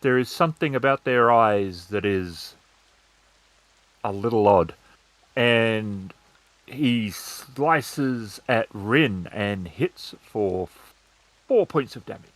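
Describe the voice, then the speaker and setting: A man's voice: lowish voice, lively intonation, takes it slowly, long pauses, fairly bright tone, no echo. A man narrating a tabletop roleplaying game as its game master, describing the action.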